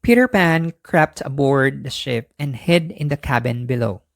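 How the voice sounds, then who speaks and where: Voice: mid-range voice, unhurried, creaky, no room sound. Storyteller with a dry, close sound. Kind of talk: scripted bedtime story narration for children.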